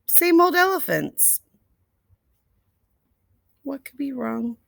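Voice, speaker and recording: A woman storyteller reading a picture book expressively to young children, deliberately, with asides and questions to the listeners. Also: sits high, sing-song, gravelly, a bit bright, dry recording. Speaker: read-aloud storyteller.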